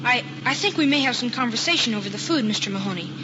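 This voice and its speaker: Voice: mid-range voice, animated, fast, natural voice. A performer playing a solemn, polite, precocious young boy with formal, precise speech in an old radio comedy. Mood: prim, insistent. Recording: muffled, noisy old radio recording.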